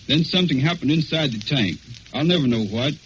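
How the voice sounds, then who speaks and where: A man's voice: medium pitch, animated, a little fast, dull audio, a little hiss or hum. A young American man giving scripted first-person testimony as a wounded soldier on a 1940s radio broadcast. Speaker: wounded soldier recounting combat.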